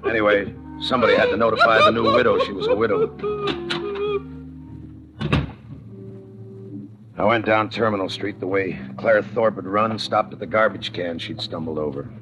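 An actor playing a weary, sardonic homicide lieutenant in clipped, wry 1950s radio crime drama style. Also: male voice, lowish voice, fast, few pauses, close to an everyday voice. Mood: world-weary. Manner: clipped, deadpan, wry delivery. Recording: vintage radio recording with prominent music.

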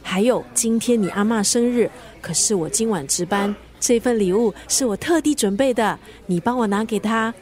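A woman's voice: on the high side, moderate pace, few pauses, a little mellow, close to an everyday voice. A woman acting in a radio drama as a teasing, bossy family friend who plays matchmaker. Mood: scheming, brisk.

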